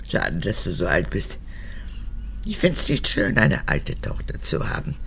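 A woman's voice: a bass voice, very animated, unhurried, a bit bright, slight background noise, exaggerated voice. An actress playing a frail, cracked-voiced old woman, a needy and spiteful mother with a childlike wheedling manner, in a radio drama. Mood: clinging, plaintive. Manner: wheedling, childlike, swinging between whisper and outburst.

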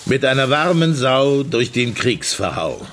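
A man acting in a German radio drama as a blunt, sardonic middle-class official with a husky voice. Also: mid-range voice, big pitch swings, a little slow, a bit bright, a little hiss or hum.

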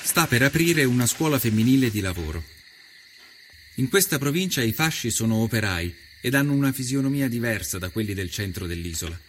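A man reads as a storyteller, at a middle pitch. His delivery is measured, literary and reflective, like a written travel account performed aloud. Faint ambient noise sits behind the voice. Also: animated. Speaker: narrator and storyteller. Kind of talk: scripted dramatic narration of a historical first-person account. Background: ambient soundtrack.

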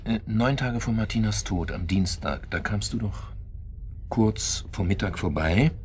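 An actor playing a bitter widower in a German radio drama, speaking in a halting, pedantic and deliberate way with a husky voice. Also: male voice, fairly low pitch, a little hiss or hum, lightly acted. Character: bitter widower methodically accusing his club friends.

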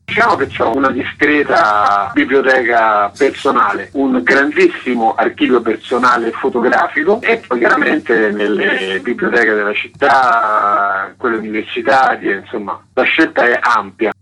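A man's voice: mid-range voice, moderate pace, few pauses, pushed and bright. A man, an author being interviewed on radio, explaining things with enthusiasm and emphasis over a tinny link. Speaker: interviewed author and researcher of Rome's monuments.

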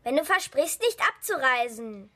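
A woman's voice: high-pitched, very animated, normal speed, bright, forceful tone, full character voice. A woman playing a hurt, cheeky nine-year-old girl in a children's radio drama, with a direct, reproachful, argumentative manner. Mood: hurt, stubborn.

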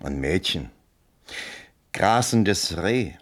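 An actor in a German radio play, playing a cold, authoritative army fort commander with a husky voice and a condescending, official manner. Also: male voice, sits low, expressive, very slow, full character voice.

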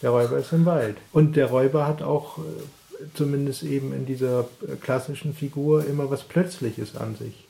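A man speaks in a mid-pitched, very gravelly, mellow voice. He reasons aloud reflectively, as in an analytical discussion. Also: steady tone, moderate pace.

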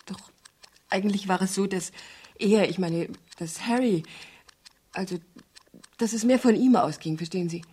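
A woman's voice: mid-range voice, moderate pace, pauses often, mellow, husky, natural voice. An actress playing a guarded, troubled young woman in a radio drama, speaking hesitantly and evasively. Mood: guarded, defensive. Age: young adult. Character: guarded, troubled young female client of a detective. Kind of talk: 1970s German radio drama dialogue.

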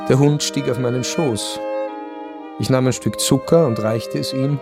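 Brooding narrator over violin music. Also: male voice, medium pitch, a little slow, creaky. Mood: desolate. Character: melancholy Viennese aristocrat recounting his lonely last night. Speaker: first-person narrator. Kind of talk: literary radio drama narration. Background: string music.

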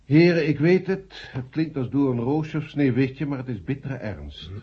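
An actor playing a pompous, sardonic committee chairman, husky and oratorical, in an old radio drama. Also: medium pitch, animated, normal speed. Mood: stern, formal. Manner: oratorical, emphatic. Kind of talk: 1970 radio drama speech. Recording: dull old radio recording.

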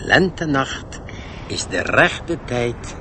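An actor playing a secretive, self-important old gnome who talks in riddles in a radio play. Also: male voice, medium pitch, big pitch swings, drawn-out words, background noise, heavily acted. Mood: solemn, sly. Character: secretive, wary old bookish male gnome. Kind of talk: radio drama acting.

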